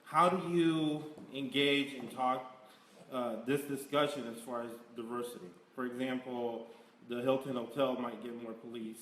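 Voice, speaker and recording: A man's voice: mid-range voice, steady tone, moderate pace, a bit bright. A man moderates a panel, posing questions in an easy, conversational way. He is heard from a distance in an echoey room, with a faint hum underneath. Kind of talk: panel discussion.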